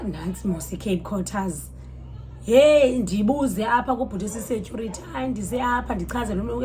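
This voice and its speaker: High-voiced South African Xhosa woman telling stories. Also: a little hiss or hum. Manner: animated, code-switches mid-sentence, exclaims and acts out dialogue. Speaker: storytime vlogger. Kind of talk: casual conversational storytelling.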